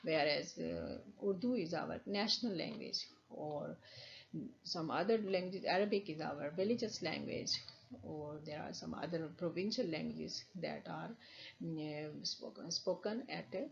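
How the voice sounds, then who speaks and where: A woman with a Pakistani accent gives a slide lecture, her lowish voice carrying a gravelly fry. She explains step by step, often restarting her sentences and filling pauses. The recording sounds dull and lo-fi. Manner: explains slowly with frequent restarts and fillers. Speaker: university lecturer.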